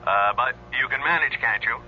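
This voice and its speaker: A man's voice: medium pitch, moderate pace. An actor in a radio drama playing a breezy, dismissive senior doctor, his voice filtered as if on the telephone. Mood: dismissive, casual. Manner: offhand, breezy. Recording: dull telephone-filtered voice, old radio, faint hum.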